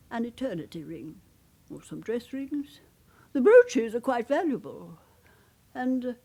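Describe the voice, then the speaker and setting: An actress playing a genteel, anxious elderly titled widow in a radio drama, speaking politely and hesitantly, with a wistful manner. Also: female voice, a little high, a little mellow, exaggerated voice. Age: older. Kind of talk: naturalistic British radio drama acting.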